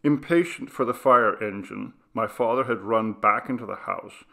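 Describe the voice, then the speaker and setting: A man reading a ghost story aloud, in a slow, measured and grave way. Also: lowish voice, vocal fry, pushed and bright. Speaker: reader. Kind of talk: scripted ghost story narration.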